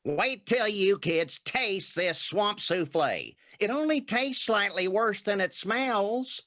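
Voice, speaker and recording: An actor voicing a fussy, cowardly elderly frog grandpa in an animated cartoon. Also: male voice, sits high, slow pace, full character voice. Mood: folksy. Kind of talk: animated cartoon table read.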